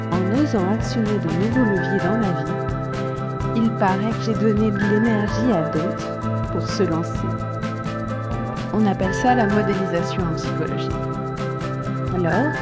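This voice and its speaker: Podcast host woman over loud music. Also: medium pitch. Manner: friendly, addresses listener directly. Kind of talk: scripted podcast intro and interview questions.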